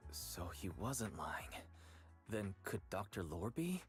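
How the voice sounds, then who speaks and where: A man voicing a calm, methodical young man who coordinates a team, speaking in a measured, matter-of-fact way in a video game. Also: lowish voice. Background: game music.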